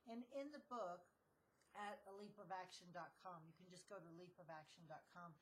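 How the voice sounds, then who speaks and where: A woman's voice: lowish voice, a little fast, a little hiss or hum. Enthusiastic woman hosting an interview. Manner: enthusiastic, affirming. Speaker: interview host.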